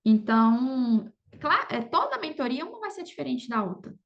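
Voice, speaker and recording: A woman's voice: sits high, big pitch swings, normal speed, highs cut off. A Brazilian woman talking casually as an interview guest, often adding little check-in tags to her sentences. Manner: conversational, frequent "sabe" and "entendeu" tags. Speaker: podcast guest, affiliate marketer.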